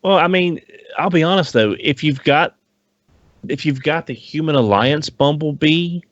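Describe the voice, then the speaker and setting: A man's voice: a little high, normal speed, no room sound. A man giving blunt, plain-spoken opinions in casual podcast banter, recorded dry and slightly dull. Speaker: podcast panelist.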